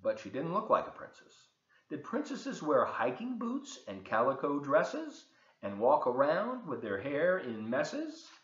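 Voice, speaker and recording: Male, 70s, folksy children's story reader, lively intonation, roomy dull home webcam sound. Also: on the high side, measured pace. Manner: folksy, expressive, addressing young listeners. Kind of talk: children's read-aloud with chatty asides. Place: open living room and kitchen, indoors. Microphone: webcam at desk distance.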